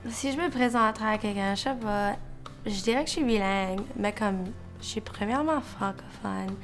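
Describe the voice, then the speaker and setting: Young female, Canadian French accent, mid pitch, colloquial off-the-cuff answers, light music behind. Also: moderate pace. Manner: colloquial, peppered with "comme". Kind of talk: casual interview answer.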